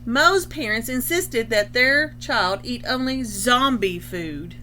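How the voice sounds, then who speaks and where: A woman with a bright, fairly high voice speaks as a school librarian to young children. Her delivery is instructional and explanatory, in the style of a picture-book read-aloud. A light background noise with a hum sits behind her. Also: animated. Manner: explanatory, pausing to define words.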